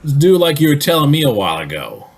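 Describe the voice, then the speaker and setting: A man playing an excitable, needling workmate in an old-time radio comedy. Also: on the high side, fast. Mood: teasing. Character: teasing, exasperated male workmate in radio comedy.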